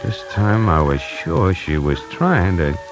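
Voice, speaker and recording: A man's voice: low voice, lively intonation, slow. An actor playing a streetwise salesman, narrating in a colloquial, wry way in 1940s radio acting. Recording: vintage 1940s radio broadcast with music.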